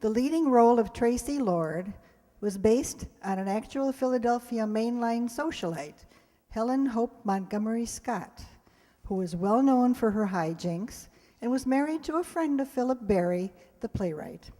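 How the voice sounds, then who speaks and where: A woman's voice: on the high side, animated, moderate pace, dark tone. Woman hosting in a reverberant hall. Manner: chatty, engages the audience with questions. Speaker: host introducing a radio play to an audience. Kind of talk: live spoken introduction with trivia and audience questions. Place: live hall in front of an audience. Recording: echoey hall with long reverb.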